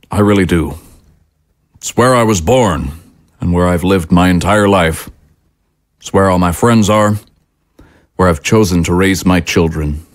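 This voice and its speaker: A man's voice: deep voice, no room sound, studio quality. A man playing a fervent, aggrieved patriotic political commentator in an audio drama, with an impassioned, oratorical delivery. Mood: earnest pride.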